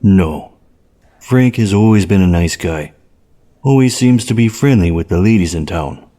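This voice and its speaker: A man's voice: low-pitched, animated, a little slow, dark tone. A man playing a polite, evasive, matter-of-fact police officer in an audio drama, speaking plainly. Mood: guarded, deadpan.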